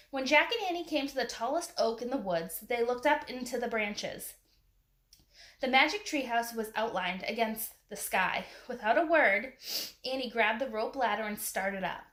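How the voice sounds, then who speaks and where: A woman's voice: fairly high pitch, lively intonation, normal speed, fairly bright tone. A woman reading a children's adventure story aloud as its narrator. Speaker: storybook reader. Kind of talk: children's book read aloud.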